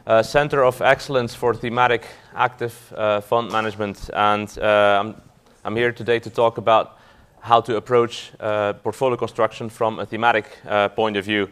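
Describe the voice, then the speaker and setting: A man's voice: lowish voice, flat delivery, normal speed, few pauses, fairly bright tone, clear, resonant tone. A man from Belgium, a fund manager giving a business talk to an audience, hesitant with frequent fillers and restarts.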